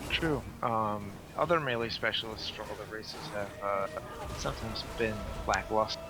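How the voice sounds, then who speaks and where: Low-voiced man chatting over gameplay. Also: normal speed, noisy background. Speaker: podcast co-host commentating gameplay. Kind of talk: casual gameplay commentary.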